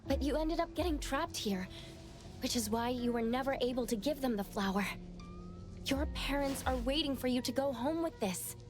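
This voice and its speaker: An actress playing an earnest, empathetic young woman in an anime-style video game, explaining gently and persuasively. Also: high-pitched, lively intonation, moderate pace. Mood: gentle, sincere. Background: faint background music.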